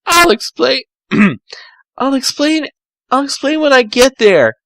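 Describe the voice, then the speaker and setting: A man's voice: very high-pitched, very animated, slow, fairly bright tone, dry recording, voice pushed up, exaggerated voice. A man voicing a young cartoon fox who has turned female, anxious and sheepish, with a hesitant, awkward delivery.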